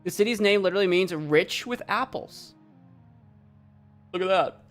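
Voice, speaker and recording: A woman streaming a game, chatting casually, cracking jokes, laughing often and thinking out loud. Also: mid-range voice, normal speed, faint music behind. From United States. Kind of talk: casual live-stream commentary.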